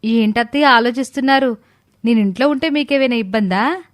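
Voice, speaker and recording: A woman playing a clever, dutiful young daughter-in-law who speaks politely and respectfully in an animated story. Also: on the high side, fairly quick, dry recording, studio quality, natural voice. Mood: polite reassurance. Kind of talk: animated moral story dialogue.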